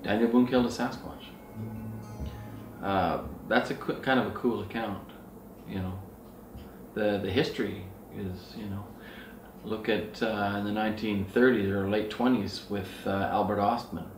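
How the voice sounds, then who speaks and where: Echoey, low-voiced middle-aged man telling anecdotes. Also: steady tone, unhurried, slight background noise. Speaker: outdoor outfitter and Sasquatch researcher. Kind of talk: casual rambling anecdotal talk. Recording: roomy echo, distant mic, faint music.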